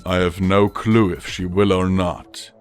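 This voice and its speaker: Man playing a low-voiced, calm father pony. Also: faint music behind. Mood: gentle, serious. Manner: measured, quiet, gentle.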